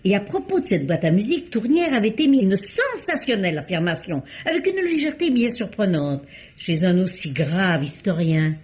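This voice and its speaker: A woman's voice: mid-range voice, moderate pace, natural voice. An actress playing a shrewd, wry older woman who unravels a murder, in a theatrical old radio drama style. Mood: explaining. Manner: crisp, theatrical, lively explanations. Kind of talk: 1950s French radio drama acting. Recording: muffled old radio recording.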